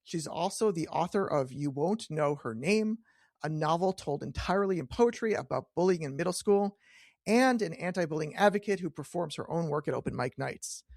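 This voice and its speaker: A man's voice: a little high, animated, normal speed, darkish tone, no room sound, clean studio sound. A man hosting a chatty interview podcast, joking and self-deprecating as he trips over his words and corrects himself. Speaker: podcast host.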